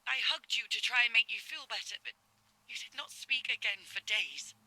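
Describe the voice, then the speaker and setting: A woman's voice: on the high side, animated, moderate pace, sharp tone, dry recording, full character voice, poor audio. An actress playing an anxious middle-aged mother who pleads with her daughter in distress, in dramatic game dialogue. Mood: worried, explaining.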